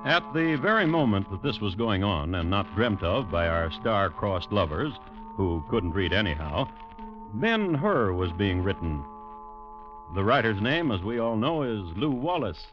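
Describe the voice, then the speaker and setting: An American actor playing the wry storyteller of a western radio drama, dry and deliberate with pauses. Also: male voice, on the low side, very animated, music in the background. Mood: dryly amused. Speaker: narrator. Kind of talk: 1950s radio scripted narration. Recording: noisy, muffled old radio recording.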